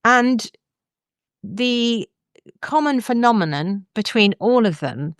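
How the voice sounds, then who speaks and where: A woman's voice: a little high, animated, slow, no room sound, clean studio sound. A woman hosting a podcast in a conversational presenting style. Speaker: podcast host.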